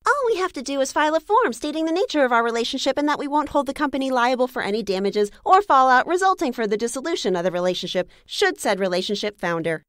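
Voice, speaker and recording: A woman's voice: high-pitched, big pitch swings, quick pace, hardly any pauses, fairly bright tone, smooth, no echo, studio quality. A woman playing an eager, perky superhero sidekick with a businesslike streak in a comedic audio drama, theatrical and punchy. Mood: brightly businesslike.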